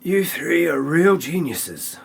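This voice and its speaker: A man's voice: a little high, very animated, takes it slowly, gravelly, husky, pitched above the performer's own voice, heavily acted. A man voicing a frail, sick old professor, fussy and breathless, in a parody audio play. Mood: agitated.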